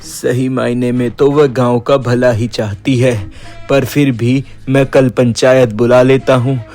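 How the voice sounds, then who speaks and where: A man playing a cautious, reasonable village headman in a cartoon story, speaking politely and in a measured way. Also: medium pitch, little pitch movement, a little mellow, close to an everyday voice. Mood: reasoning. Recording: background music.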